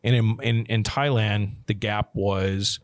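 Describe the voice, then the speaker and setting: A man's voice: on the low side, steady tone, takes it slowly, dry recording. A man talking casually on a podcast about running his production company, rambling with frequent restarts. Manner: rambling, many restarts and "you know". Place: living abroad, working from Thailand.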